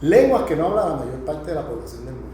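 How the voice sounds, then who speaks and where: High-voiced male lecturer in an echoey room. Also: very animated, quick pace, warm tone. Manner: didactic, emphatic, punctuates points with check-in tag questions. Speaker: lecturer teaching a class. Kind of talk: improvised academic lecture. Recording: very echoey room, faint noise.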